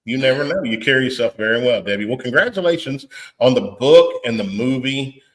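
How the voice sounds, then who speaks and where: A man's voice: medium pitch, brisk, a bit bright, rough recording. A man hosting a podcast interview, friendly and chatty, encouraging his guest. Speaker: podcast host.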